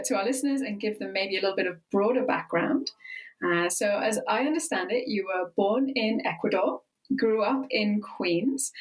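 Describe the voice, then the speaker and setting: A woman hosting a wine podcast, reading a scripted intro and then interviewing her guest with clear, upbeat delivery. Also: medium pitch, poor audio.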